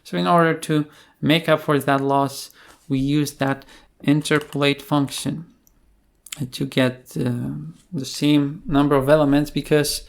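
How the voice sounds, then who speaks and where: A man presenting an unscripted screencast coding tutorial in a hesitant, halting way, with pauses between phrases. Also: on the high side, level tone, gravelly.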